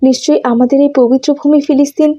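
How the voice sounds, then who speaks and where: Woman acting a high, mellow devout refugee neighbour woman. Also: fairly even intonation, fast, dry recording, highs cut off, natural voice. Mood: hopeful, fervent. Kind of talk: cartoon story dialogue.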